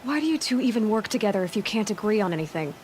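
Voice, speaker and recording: A woman's voice: mid-range voice, big pitch swings, rapid-fire, darkish tone, a little hiss or hum, lightly acted. A woman acting a practical, direct young huntress who asks questions in a video game. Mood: impatient. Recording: clear music under the voice.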